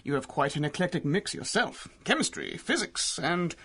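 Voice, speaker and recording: An actor playing a courteous, excitable older archaeologist with a fussy, hesitant manner, in a 1930s-style radio drama. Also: male voice, on the high side, moderate pace, breathy edge, exaggerated voice. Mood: genial, flattering.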